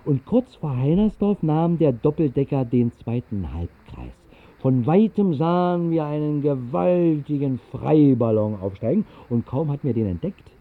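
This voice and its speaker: A man's voice: fairly high pitch, lively intonation, a little slow, few pauses, narrow, tinny audio. An actor playing a whimsical man who tells a fantastical tale in a 1960s literary radio play, in a wry, conversational way with musing asides. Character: whimsical man recounting a fantastical night flight over the city. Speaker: first-person narrator of a radio play.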